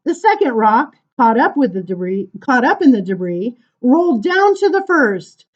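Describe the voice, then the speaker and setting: A woman reading poems aloud to children as a storytime librarian, with animated, sing-song emphasis and casual asides. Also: on the high side, normal speed, highs cut off.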